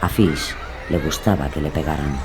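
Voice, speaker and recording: A man's voice: extremely low pitch, normal speed, a loud crowd, very noisy. A man narrating a scripted, dramatised true-crime story.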